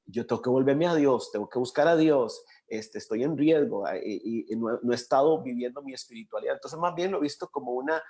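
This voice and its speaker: A man with a Costa Rican accent hosts a conversational interview. He thinks aloud, pausing and restarting as he searches for words. His voice sits at an ordinary middle pitch. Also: a little mellow. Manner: reflective, halting, searches for words. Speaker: interview host.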